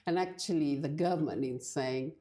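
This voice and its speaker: An older woman from Fiji, talking in a halting, hesitant way as a guest in a casual church interview. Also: low-pitched, dark tone. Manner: hesitant, halting with many restarts.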